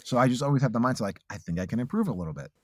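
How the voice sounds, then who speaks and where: A man's voice: mid-range voice, animated, very fast, no echo, clean studio sound. A man in his late thirties, a voice actor, telling his story in a casual interview, earnest and reflective with frequent restarts. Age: late 30s. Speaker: voice actor guest.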